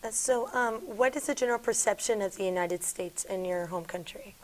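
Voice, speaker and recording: A woman's voice: mid-range voice, fairly quick, rough recording. A young woman, a student interviewer, asking polite questions with short affirmations.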